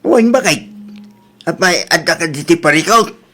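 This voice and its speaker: A man's voice: fairly high pitch, animated, moderate pace, bright, forceful tone, exaggerated voice. A man playing an older, plain-spoken village husband with an Ilocano accent in a comedy audio drama. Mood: matter-of-fact.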